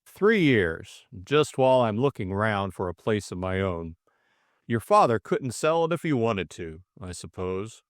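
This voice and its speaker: A man's voice: fairly low pitch, big pitch swings, measured pace, no echo, studio quality. A man voicing a powerful, self-important older businessman in an audiobook, with a blunt, overbearing manner. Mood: blunt, self-satisfied. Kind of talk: audiobook character dialogue.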